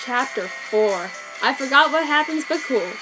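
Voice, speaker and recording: Woman reading with deadpan mock drama. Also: high-pitched, measured pace, rough recording. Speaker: reader. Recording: background music. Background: soundtrack music.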